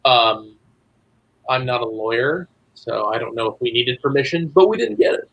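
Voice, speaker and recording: Wry man of medium pitch. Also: normal speed, creaky, a bit bright, poor audio. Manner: wry, joking. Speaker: guest, writer. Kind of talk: casual interview chat.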